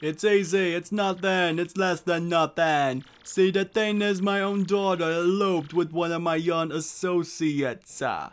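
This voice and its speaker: A man voicing a gruff, menacing mob boss in a video game, with tough-guy gangster slang. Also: high-pitched, a little slow, few pauses, faint music behind. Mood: bossy, bargaining. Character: gruff, menacing male mob boss, big cartoon creature. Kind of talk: video game character dub.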